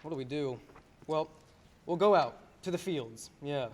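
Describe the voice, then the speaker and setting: A man's voice: on the high side, expressive, unhurried, a little mellow. An actor playing a restless, good-hearted young man in a radio play, folksy, hesitant and wry. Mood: eager, dreamy.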